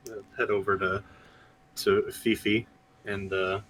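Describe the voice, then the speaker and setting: A man playing a tabletop game who speaks politely with a lot of stammering. His voice sits low but sounds bright and sharp. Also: flat delivery, measured pace, poor audio. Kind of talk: casual in-character play.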